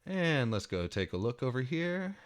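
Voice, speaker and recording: A man in his thirties presenting a programming tutorial, mixing expressive, emphatic reading aloud with casual walkthrough narration. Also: mid-range voice, normal speed. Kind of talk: dramatic reading of article mixed with casual screencast walkthrough.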